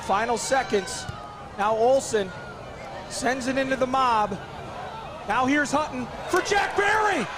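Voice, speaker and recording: A man calling a live soccer match play by play, hoarse and losing his voice, his voice jumping up on big chances. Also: very high-pitched, slow, long pauses. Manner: excitable, voice jumps up on big chances. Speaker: play-by-play commentator. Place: outdoor soccer field. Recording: loud crowd and outdoor noise. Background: cheering crowd.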